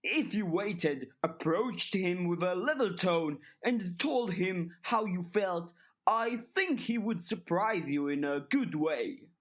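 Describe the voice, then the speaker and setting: A woman voicing a smooth, calculating older male headmaster in an audiobook, speaking in measured, formal, articulate sentences with polite manipulation. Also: lowish voice, animated, pitched above the performer's own voice. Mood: calm, explaining. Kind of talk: dramatized audiobook dialogue.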